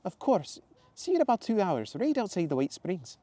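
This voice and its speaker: A man voicing a bubbly, eccentric woman shopkeeper in her thirties, loud and gushing. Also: high voice, huge pitch swings, moderate pace, no room sound, pitched above the performer's own voice.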